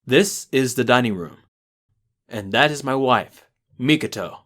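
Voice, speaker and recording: Guy playing formal stern clan head in an anime audio drama, medium pitched voice. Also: lively intonation, measured pace, a bit bright. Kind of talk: fan-made anime audio drama acting.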